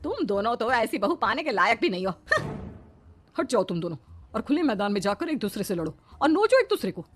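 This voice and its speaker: A woman's voice: fairly high pitch, sing-song, a little fast, a little hiss or hum. A woman voicing a demanding, quarrelsome older mother-in-law in a cartoon, bossy and cajoling. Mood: indignant.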